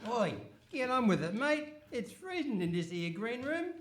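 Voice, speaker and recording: A man's voice: extremely high pitch, very animated, moderate pace, fairly bright tone, a little hiss or hum, full character voice. A man playing a blunt, matey, impatient heckler in an absurd radio comedy. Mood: cheeky, grumbling. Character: cheeky, impatient heckler from backstage. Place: hall stage, live performance.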